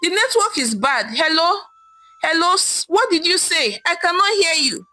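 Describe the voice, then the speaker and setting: A woman's voice: extremely high pitch, very animated, normal speed, pushed and bright, faint music behind, voice pushed up, full character voice. A woman voicing a carefree wife with a Nigerian accent, nonchalant and teasing. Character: carefree wife enjoying her husband's absence.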